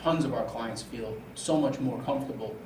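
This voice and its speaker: A man's voice: medium pitch, normal speed, a little mellow. A middle-aged man from New York with an American accent, hosting a casual podcast interview. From New York, United States. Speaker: host and entrepreneur. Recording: echoey room, clear background noise, distant mic.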